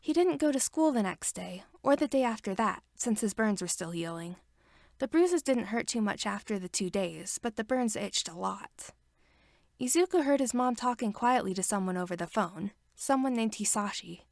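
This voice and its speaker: Woman reading a story, gravelly edge. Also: mid-range voice, expressive, normal speed, no room sound, clean studio sound. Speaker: podfic reader. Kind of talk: reading fan fiction aloud.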